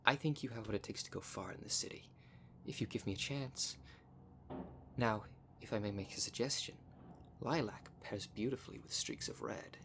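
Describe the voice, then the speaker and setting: A man's voice: on the low side, normal speed, noisy background. A man voicing a vain, flirtatious, snobbish werecat socialite in a scripted roleplay spoken to the listener, with a pompous, haughty manner. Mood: coaxing. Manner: pompous, haughty, smooth-talking. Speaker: voice actor in audio roleplay.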